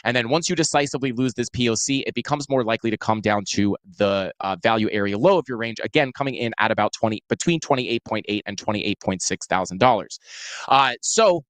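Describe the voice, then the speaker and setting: A man's voice: medium pitch, brisk, fairly bright tone, no room sound. A man hosting a crypto show, talking casually through market charts with many fillers. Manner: casual, filler-heavy, self-deprecating. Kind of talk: casual chart analysis talk. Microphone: clip-on mic.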